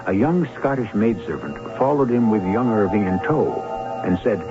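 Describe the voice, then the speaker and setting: Male radio host, scripted dramatic narration, literary phrasing, loud music behind, dull lo-fi recording. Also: lowish voice, lively intonation. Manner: theatrical, deliberate, literary phrasing. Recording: muffled old radio with prominent music.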